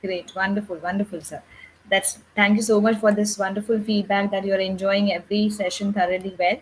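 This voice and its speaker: A woman tutoring English over a call, warmly affirming with lots of "mm-hmm" and "wonderful." Her pitch sits in the middle, with faint background noise behind her voice. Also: little pitch movement, brisk, rough recording. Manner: encouraging, frequent backchannels. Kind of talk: interviewing a learner.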